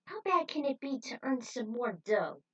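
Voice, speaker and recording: A woman voicing a brash, excitable bounty hunter, loud and emphatic, in an amateur story reading. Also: high voice, normal speed, slightly rough, far from the mic, highs cut off, pitched above the performer's own voice, full character voice. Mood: eager.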